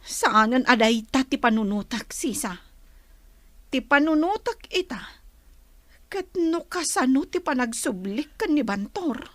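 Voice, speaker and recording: A woman's voice: high-pitched, lively intonation, a little slow, a bit bright, no echo. A woman with an Ilocano Filipino accent playing a scheming pregnant wife who left her husband, in a radio drama. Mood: pensive.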